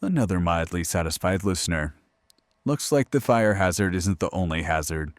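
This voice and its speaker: A man playing a droll, macabre late-night radio horror host, theatrical and sardonic with deadpan humour. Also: deep voice, normal speed. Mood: wry. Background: faint music.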